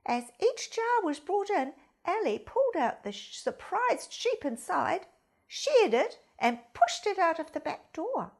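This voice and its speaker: A woman reading a children's story aloud in a dramatic, deliberate way, with hushed emphasis. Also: extremely high pitch, sing-song, vocal fry.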